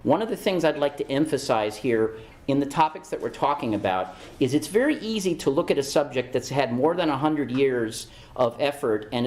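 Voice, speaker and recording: A man's voice: medium pitch, darkish tone. A man in a played clip speaks with a mid-range voice. He explains a science topic earnestly and repeats phrases for emphasis. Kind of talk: explanatory talk.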